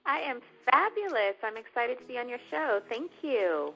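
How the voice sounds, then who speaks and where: A woman from Northern California chatting as an actress guest in an interview, animated and laughing as she talks, bringing up her faith. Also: on the high side, moderate pace, gravelly, faint music behind, low-quality recording. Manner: animated, laughing, with religious references. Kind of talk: casual interview chat.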